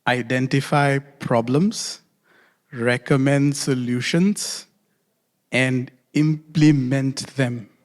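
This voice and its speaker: A man from Singapore gives a friendly, self-deprecating conference talk as a doctor and consultant, slowing down to repeat key phrases. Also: mid-range voice. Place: large conference hall. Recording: reverberant conference hall.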